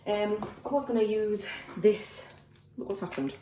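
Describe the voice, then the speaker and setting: A woman's voice: medium pitch, unhurried, mellow, a little hiss or hum, rough recording. A young woman with a Yorkshire accent, chatting casually to her phone camera at home, rambling with lots of fillers and poking fun at herself. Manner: rambling, filler-heavy, self-deprecating. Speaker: vlogger. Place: home, kitchen then bedroom.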